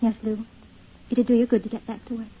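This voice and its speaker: An actress playing a caring, anxious, gently coaxing housewife in an old-time radio drama. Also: female voice, fairly high pitch, level tone, fast talker, breathy edge. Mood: concerned. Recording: muffled, faintly noisy old radio.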